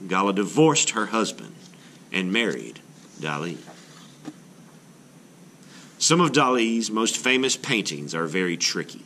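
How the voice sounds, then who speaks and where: A man reading a children's book aloud as an art teacher, in an expressive, explanatory way meant for children. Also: sits low, slight background noise.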